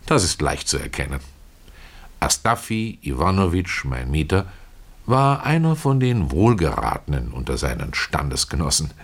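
A man reading a first-person literary story as narrator, in a measured, expressive storytelling manner. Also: very deep voice, husky.

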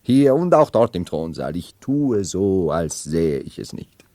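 A man's voice: deep voice, big pitch swings, unhurried, mellow, dry recording, heavily acted. An actor playing a brooding nobleman who feigns madness as a medieval emperor, theatrical and mercurial, in a 1970s radio play. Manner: theatrical, mercurial, dwelling on words then bursting out.

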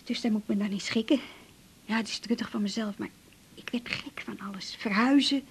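A lovestruck, slightly resigned woman patient in a radio play. She speaks in a fairly high, husky voice, confiding in a chatty yet hesitant way. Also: brisk. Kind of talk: naturalistic radio drama acting.

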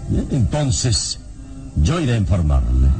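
An actor playing a calm, commanding male hero in a radio serial, speaking in a measured, solemn way. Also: fairly low pitch, heavily acted. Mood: calm, resolute. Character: calm, commanding heroic male adventurer investigating a murder. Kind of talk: Spanish-language radio serial acting. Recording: radio drama with background music.

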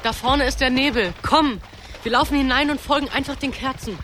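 High-voiced brave boy. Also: brisk, sharp tone, a little hiss or hum. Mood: urgent. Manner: urgent, earnest. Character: brave, protective young boy urging his sister on. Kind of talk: radio play acting.

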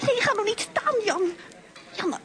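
An actress playing a teasing, anxious young married woman in a Dutch radio play, with an expressive, girlish, pleading delivery. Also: very high voice, a little slow, full character voice. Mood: pleading, panicky. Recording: noisy old radio play recording.

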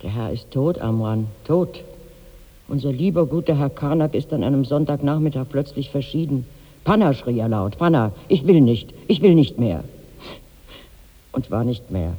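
An actor playing a mournful, reproachful servant of a master in a 1960s radio play, solemn and theatrical. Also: male voice, mid-range voice, lively intonation, moderate pace, natural voice. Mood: mournful, accusing. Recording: dull vintage radio recording, long reverb.

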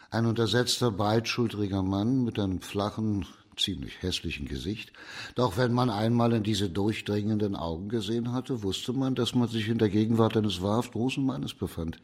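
A man playing an authoritative, shrewd judge in a radio crime drama, speaking formal in a measured, commanding way. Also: on the low side, close to an everyday voice. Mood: lofty, commanding. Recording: reverberant hall.

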